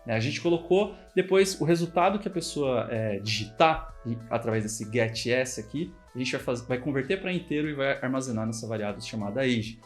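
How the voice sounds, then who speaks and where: A man's voice: medium pitch, lively intonation, fairly quick, few pauses, soft background music. A man with a Brazilian Portuguese accent, a programming instructor walking through code in a casual, chatty screen-recorded tutorial and often checking in with the viewer. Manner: casual, chatty explaining with frequent check-ins.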